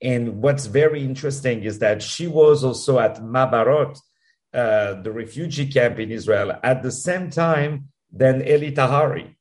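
Man, French accent, mid-pitched, halting speech, many restarts, effusive. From France. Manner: halting, repeats words, warm and effusive. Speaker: musical producer guest. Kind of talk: casual interview answers.

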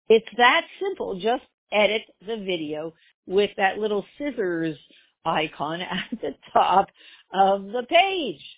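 A woman's voice: mid-range voice, husky, dry recording. A woman giving a religious class, explaining with rhetorical questions and everyday examples. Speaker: Torah teacher. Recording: tinny phone-like line.

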